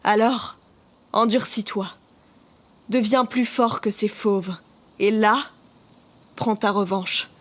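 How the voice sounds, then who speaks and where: An actress playing a tough, teasing and affectionate young combat mentor in an audio drama. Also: female voice, mid-range voice, steady tone, takes it slowly, long pauses, close to an everyday voice. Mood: intense, urging.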